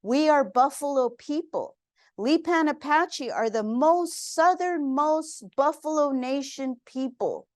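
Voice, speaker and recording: A woman in her fifties from Texas giving an earnest, heartfelt museum lecture, pausing often with fillers as she speaks. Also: high voice, dry recording, studio quality. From San Antonio, Texas. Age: 50s.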